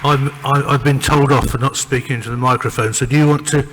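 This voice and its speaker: A man chairing a conference session, brisk and informal. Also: mid-range voice, fairly even intonation. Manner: brisk, informal, self-aware. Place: lecture hall. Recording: hall reverb, faint room noise.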